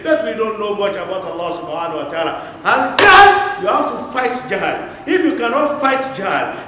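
A middle-aged man with a Nigerian English accent giving a Friday sermon in a mosque, swinging between loud exhortation and quiet asides. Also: a little hiss or hum. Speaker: imam. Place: large tiled mosque hall. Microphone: lapel mic. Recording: booming echo of a large hall.

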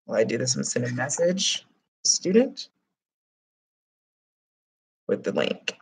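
A lively woman in her thirties teaches a Spanish class from a small room at home over a close webcam. Her Spanish words carry a Dominican accent, and she breaks off into playful, chatty asides to her students. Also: a bass voice, unhurried, gravelly, husky, poor audio. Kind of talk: online class lesson.

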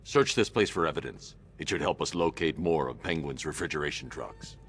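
An actor playing a grim, stern vigilante hero in a video game, terse and commanding with a gravelly voice. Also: male voice, a little fast, fairly bright tone, exaggerated voice. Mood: stern, controlled. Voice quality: deep, gravelly.

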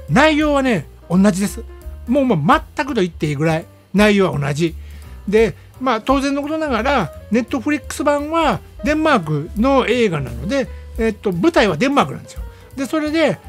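Man, Kansai accent, radio host, animated intonation, chatty, emphatic, casual review, faint background music. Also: very high voice. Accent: Kansai Japanese. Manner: chatty, emphatic, peppering phrases with "ne". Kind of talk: casual film review monologue.

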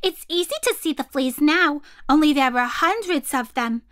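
Woman doing a high, frantic little monkey. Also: expressive, normal speed, a bit bright. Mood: amazed. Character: little female monkeys, eager, frantic and fussing. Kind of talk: storybook read-aloud character voice.

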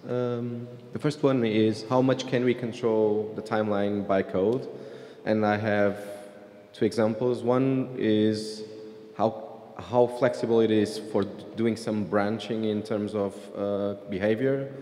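A man's voice: lowish voice, fairly even intonation, takes it slowly, dark tone. Man asking questions in an echoing hall. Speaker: audience questioner. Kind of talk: unscripted question from the floor. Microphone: stand microphone in audience. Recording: reverberant hall PA sound.

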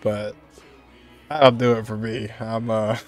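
A man's voice: lowish voice, level tone, slow, faint music behind. A man in his twenties giving casual, off-the-cuff reaction commentary in a hesitant, noncommittal way. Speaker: reaction video host.

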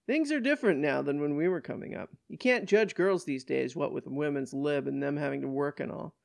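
Audiobook role: older paternal cop, read by the narrator, folksy and mildly admonishing, with a creaky edge. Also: medium pitch, brisk, no echo, natural voice.

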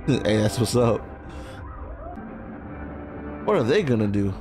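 A young man giving casual, excited reaction commentary with slangy, profane exclamations into a close studio mic. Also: on the low side, slow pace, music in the background. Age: young adult. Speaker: movie reaction host. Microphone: close boom-arm studio microphone, headphones.

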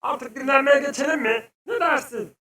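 Mischievous cartoon youngster, high pitch, forceful bright tone, boastful, playful, exclamatory. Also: female voice, moderate pace. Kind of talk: children's educational cartoon dialogue. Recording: echoey, distant, faint music behind.

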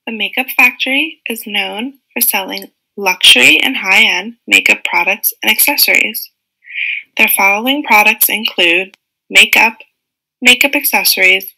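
A young woman reading a scripted narration for a school case-study presentation, in a steady, list-like delivery. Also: mid-range voice, creaky, rough recording. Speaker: student presenter.

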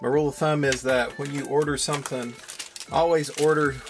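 A man's voice: mid-range voice, fairly even intonation, a little fast, soft background music. A man hosting a hands-on electronics tutorial, talking informally and thinking aloud as he works. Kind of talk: casual narrated build demo. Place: indoor electronics workbench.

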